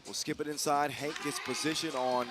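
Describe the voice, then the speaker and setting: Lively male basketball play-by-play caller. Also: on the high side, fairly quick. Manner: energetic, jokey, rises to shouts on big plays. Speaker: play-by-play commentator. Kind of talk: live basketball commentary. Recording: music playing behind the commentary.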